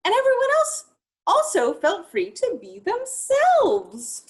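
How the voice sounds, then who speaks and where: Lady reading storytime to little kids, high voice, really up and down, encouraging. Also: slow. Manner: animated, encouraging, child-directed. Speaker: storyteller for young children. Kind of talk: expressive picture-book read-aloud to children.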